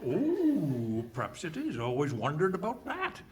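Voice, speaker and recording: A man's voice: on the high side, huge pitch swings, moderate pace. A man playing a cheerful, dim-witted scout in a comic live radio play, guileless and bumbling. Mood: dopey, cheerful. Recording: noisy live hall stage recording.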